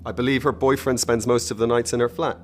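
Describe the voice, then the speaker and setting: A man's voice: medium pitch, fairly even intonation, quick pace, faint music behind, natural voice. A man acting a callous, obedient henchman agent in a radio drama, matter-of-fact and menacing.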